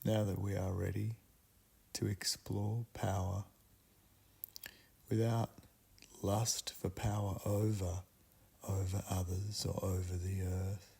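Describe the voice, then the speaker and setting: Slow, gravelly man guiding a meditation. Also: deep voice, little pitch movement, warm tone. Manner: solemn, deliberate, with long pauses between short phrases. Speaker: guided meditation guide. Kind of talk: slow guided meditation ritual narration.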